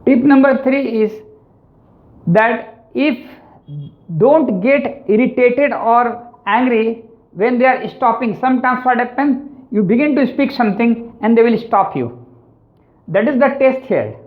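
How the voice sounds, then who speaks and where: A man's voice: extremely high pitch, a little slow. A man with an Indian English accent, teaching exam tips and often tagging lines with "right?" and "actually". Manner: repetitive, keeps adding "right?" and "actually". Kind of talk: spoken lesson on exam tips. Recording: tinny, phone-like sound.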